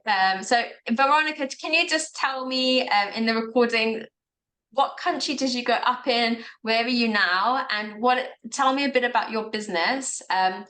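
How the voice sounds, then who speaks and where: A woman's voice: fairly high pitch, a bit bright. A woman hosting an interview, asking friendly questions with fillers along the way. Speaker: interview host. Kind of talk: casual interview.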